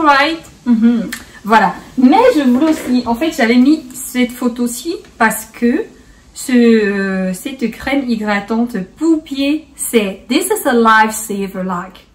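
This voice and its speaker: A woman's voice: on the high side, distant mic, a little hiss or hum. A woman hosting a casual beauty tutorial in a playful, chatty way, slipping bits of English into her French. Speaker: beauty vlogger, host.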